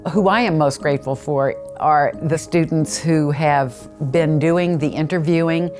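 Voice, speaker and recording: A woman's voice: low-pitched, unhurried, a little mellow. A woman presenting introductory remarks about a program, with music playing behind her.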